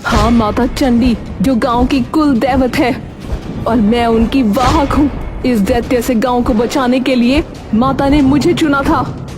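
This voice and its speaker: A woman's voice: high voice, steady tone, a little slow, over music. A woman voicing a stern female tantric who guards a village, chanting and commanding. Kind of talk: cartoon voice acting.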